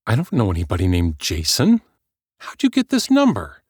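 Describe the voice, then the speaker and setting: A man voicing a hostile, suspicious famous woman who talks harshly and briskly. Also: fairly low pitch, very animated, breathy edge, dry recording, studio quality, exaggerated voice.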